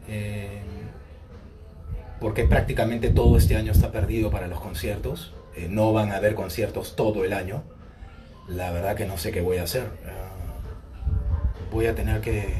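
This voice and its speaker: A man in his forties with a Peruvian Spanish accent, chatting casually outdoors with fans and often pausing on "eh" as he answers their questions on a phone. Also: on the low side, poor audio. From Peru. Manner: relaxed and unhurried, with frequent hesitant "eh" fillers. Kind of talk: casual live chat with fans. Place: outdoors in bright sun by a glass building. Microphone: phone held at arm's length, wireless earbuds.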